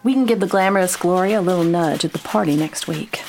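A woman's voice: fairly low pitch, fast, gravelly, darkish tone, a little hiss or hum. A woman playing a glamorous, breezy 1930s socialite in an old-time radio drama.

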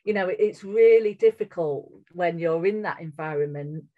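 A woman's voice: fairly low pitch, normal speed. Woman with a strong northern English accent. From England. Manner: earnest, candid, with frequent restarts. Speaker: guest. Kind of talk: conversational podcast interview. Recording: muffled, lo-fi audio.

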